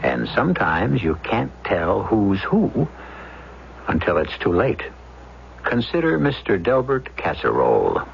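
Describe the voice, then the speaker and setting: A man hosting a 1970s radio mystery drama with measured, wry, theatrical scripted narration. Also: lowish voice, wildly swooping pitch, vocal fry, a little hiss or hum. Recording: dull vintage radio, music behind.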